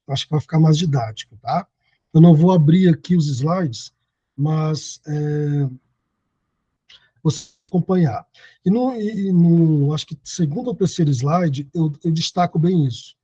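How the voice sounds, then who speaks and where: A man's voice: on the high side, measured pace, dark tone, breathy edge, no room sound, muffled recording. A man in his thirties teaching an online Bible study lesson, reading scripture aloud and explaining it. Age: 30s. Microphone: video call.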